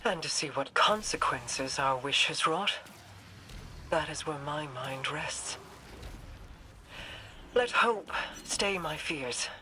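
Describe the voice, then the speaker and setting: A woman's voice: low-pitched, animated, slow pace, long pauses, pushed and bright, a little hiss or hum, full character voice. A woman playing a regal, enigmatic immortal queen in a video game, composed and formal in her delivery. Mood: pensive.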